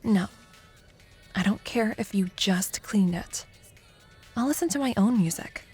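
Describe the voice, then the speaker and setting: A young woman playing a competitive college student in an intimate audio roleplay, a teasing, prickly but secretly fond rival who talks haltingly. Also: mid-range voice, a little mellow, breathy edge, faint music behind. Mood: grudging, prickly. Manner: teasing, halting, flustered deflection.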